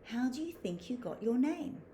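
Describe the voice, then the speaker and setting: A woman's voice: high voice, big pitch swings, warm tone, a little hiss or hum, pitched above the performer's own voice. Woman as an emphatic, chiding mother spoonbill. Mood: chiding, encouraging. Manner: emphatic, measured listing.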